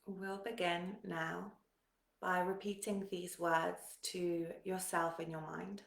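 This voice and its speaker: Slow, low-pitched woman guiding meditation. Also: creaky, reverberant. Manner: calm, soothing, gentle instruction with long pauses. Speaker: meditation guide. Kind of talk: guided meditation.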